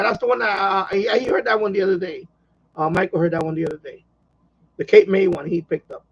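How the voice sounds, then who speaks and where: A man's voice: sits high, husky, muffled recording. A man hosting a hobby live stream, chatting casually in a rambling way and often repeating himself.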